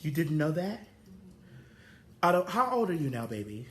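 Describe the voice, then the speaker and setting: A woman's voice: low-pitched, big pitch swings, moderate pace, slight background noise. A woman in her forties hosting a casual talk show, profane and preachy, drawling out her stories. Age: 40s. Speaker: host.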